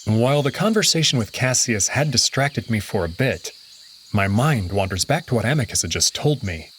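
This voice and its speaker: Expressive man narrating a story. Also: medium pitch, a little hiss or hum. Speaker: narrator and lead character of a visual novel. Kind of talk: first-person scripted narration of a visual novel.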